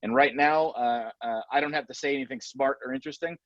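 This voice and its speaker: A male professor hosts a webinar over a video call, speaking at a mid pitch. He is friendly and rambling, with plenty of fillers. The audio is slightly dull and dry, with no room sound. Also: fast talker, a bit bright, dry recording. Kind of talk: webinar host interview.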